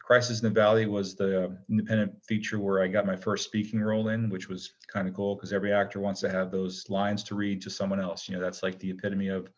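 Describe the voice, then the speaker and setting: A man's voice: lowish voice, level tone, quick pace, few pauses. A man giving a casual interview as a guest, talking in a hesitant, rambling, modest way. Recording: dull, lo-fi connection.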